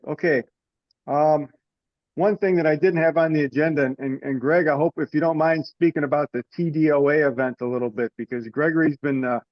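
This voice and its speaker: A man chairing an informal online meeting over a lo-fi conference connection. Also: fairly high pitch. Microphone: teleconference connection. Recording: dull, lo-fi conference call audio.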